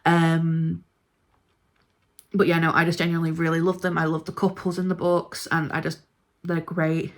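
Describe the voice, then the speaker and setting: A woman with a slightly low voice talks in a casual, informal vlog style. She reviews books in an emphatic, gushing manner, as if chatting to followers. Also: completely flat delivery, normal speed, long pauses. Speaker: book reviewer vlogger. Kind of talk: casual chat ranking books.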